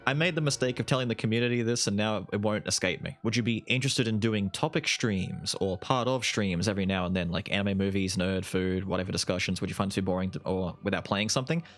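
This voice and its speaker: A young Australian man with a lowish voice talks through fan questions in loose, rambling chat, often laughing at himself. Faint background music runs quietly under his voice. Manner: rambling, self-deprecating, quick asides. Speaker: YouTube gaming creator answering viewer questions. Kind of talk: casual Q&A chat.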